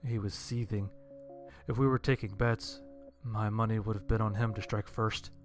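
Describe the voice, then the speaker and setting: A man narrates a scripted story in a lowish, very even voice with little rise or fall. Soft music plays faintly behind him. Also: moderate pace.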